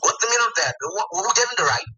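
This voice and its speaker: A man's voice: very high voice, animated, rapid-fire, pushed and bright, recorded from a distance. A man with a Nigerian accent on a phone call, coaxing and pleading, calling her honey and babe over and over. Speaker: romance scammer on a phone call. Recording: muffled phone-call audio.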